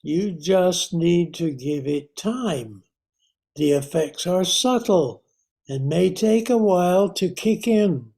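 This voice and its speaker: A man playing a calm, cunning doctor in an old-time radio thriller, measured and composed. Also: sits high, full character voice. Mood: coolly knowing. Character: calm, cunning doctor uncle, a scientist outwitting gangsters.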